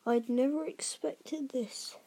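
Storybook giant man, played by a woman, gruff, kindly, slow, husky, halting rustic speech. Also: high voice, dark tone, heavily acted. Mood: anxious, low. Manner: halting, rustic colloquial turns of phrase.

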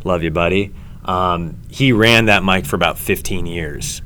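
A comedy host telling stories in a casual chat, rambling and halting with many ums and restarts. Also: male voice, sits low, pushed and bright, a little hiss or hum.

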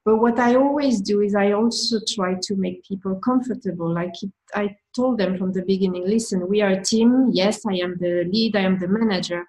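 A woman's voice: medium pitch, brisk, few pauses, a little mellow, poor audio. A woman with a French accent, answering interview questions as a manager, thoughtful and with frequent restarts.

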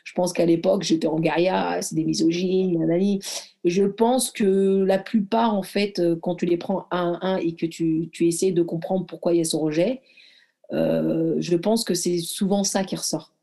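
Mellow-voiced woman in her thirties. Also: on the low side, steady tone, vocal fry. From Morocco. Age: 30s. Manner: reflective, halting, lots of fillers and restarts. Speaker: guest athlete and former firefighter. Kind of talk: casual conversational storytelling.

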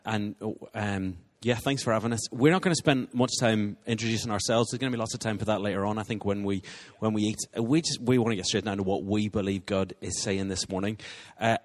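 A man in his thirties opening a church talk in a friendly, self-deprecating way, with hesitant starts. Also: fairly low pitch. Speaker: guest preacher. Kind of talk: sermon introduction.

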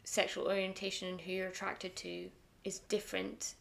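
A guest on a casual podcast, speaking in a rough, gravelly, froggy voice. The pitch sits in the middle range and the talk is animated, with bursts of laughter and quieter asides. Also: female voice, normal speed. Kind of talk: casual conversation.

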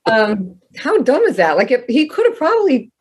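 A woman in her fifties, scratchy from a night of karaoke, telling stories in animated casual chat and swearing freely. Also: high-pitched, moderate pace. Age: 50s. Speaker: guest masseuse. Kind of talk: casual storytelling.

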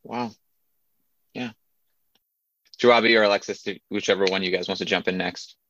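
A man's voice: on the low side, fairly even intonation, normal speed, a bit bright, a little hiss or hum. A young man hosting a casual discussion, loose and rambling with many fillers. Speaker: host. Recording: dull dry recording.

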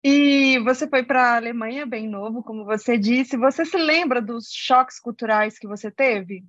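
High-voiced woman, dull dry audio. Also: normal speed, no room sound. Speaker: podcast co-host. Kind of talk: interview questions.

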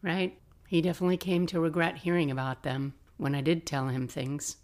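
An older woman hosting a podcast, telling personal stories in a casual, reflective, confiding and expressive way. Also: low-pitched, fairly quick. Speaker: podcast host.

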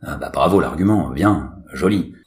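A man's voice: extremely low pitch, moderate pace. A man voicing a sulky adolescent son, casual, whiny and sarcastic, in a comic audio story.

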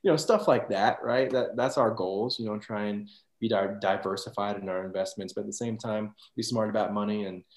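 A man's voice: fairly low pitch, a little fast, echoey room. A man in his twenties, a guest on a podcast, talking about money in an easygoing way, with loose restarting phrases. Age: 20s. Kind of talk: casual chat.

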